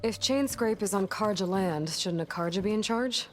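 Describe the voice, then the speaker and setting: An actress playing a young, wry warrior heroine in a video game, speaking in a dry, sardonic, direct way. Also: female voice, mid-range voice, normal speed, lightly acted. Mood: challenging. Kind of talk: video game character acting.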